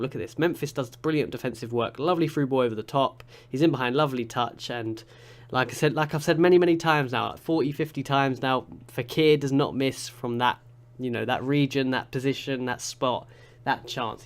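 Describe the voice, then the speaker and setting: Young male gamer, stuffed-up nose from a cold, chatty excitable play-by-play, mid-pitched. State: congested with a cold. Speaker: gaming YouTuber. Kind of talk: live game commentary.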